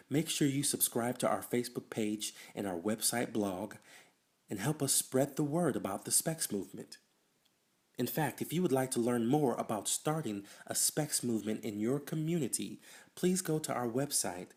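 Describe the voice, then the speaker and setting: A man's voice: mid-range voice, darkish tone. A man reading a scripted show introduction in a friendly, promotional way. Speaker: show host.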